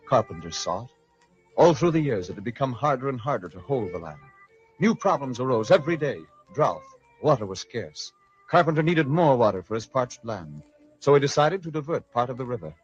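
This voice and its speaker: A man with a husky voice delivering scripted narration in an old-time radio style. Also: mid-range voice, very animated, brisk, faint music behind. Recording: muffled vintage radio recording.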